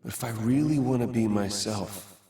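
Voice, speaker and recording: A man's voice: lowish voice, normal speed, mellow, breathy edge. A conflicted, earnest young guy. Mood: pensive. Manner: earnest, searching, sometimes exasperated. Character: conflicted young man torn over his love life. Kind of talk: game cutscene dialogue. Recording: with background music.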